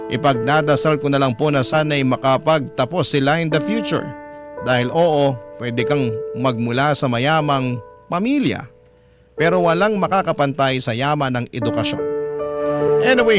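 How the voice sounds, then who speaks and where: A man with a Filipino accent narrating a personal letter as a radio drama host, earnest and reflective. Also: fairly high pitch. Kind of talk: emotional scripted first-person letter narration. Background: prominent instrumental music.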